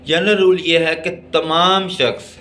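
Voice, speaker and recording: A man with a Pakistani accent lecturing on law, deliberately reading out text and explaining it in a teacherly way. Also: on the high side, fairly even intonation, sharp tone, slight background noise. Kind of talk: exam-oriented law lesson, reading English text and explaining it.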